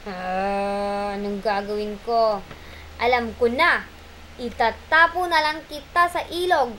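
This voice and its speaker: Puppet-show monkey, played by a woman: sly bully, sharp high voice, blustery and whiny. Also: slow pace, a little hiss or hum. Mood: scheming, blustering. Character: tricky greedy male monkey, mean and threatening. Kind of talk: amateur puppet show acting.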